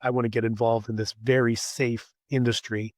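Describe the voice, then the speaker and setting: Canadian man, mid-pitched, raspy, losing his voice, halting conversational interview talk, dry sound. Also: little pitch movement, darkish tone, no room sound. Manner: halting, repeats words as he thinks aloud. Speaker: podcast guest, scaffolding company executive.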